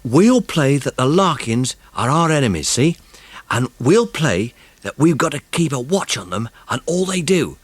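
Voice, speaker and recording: An excitable, bossy boy from a children's story, acted by a man. The voice is pushed high and swings freely in pitch, close and dry, with eager colloquial phrasing. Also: unhurried, breathy edge, no room sound. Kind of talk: dramatised children's audiobook acting.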